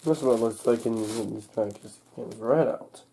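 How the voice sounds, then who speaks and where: A young man recovering from a cold, narrating a casual unboxing in a halting, unscripted way, thinking aloud as he goes. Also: mid-range voice, mellow, slight background noise, low-quality recording. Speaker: hobbyist vlogger. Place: indoors at home.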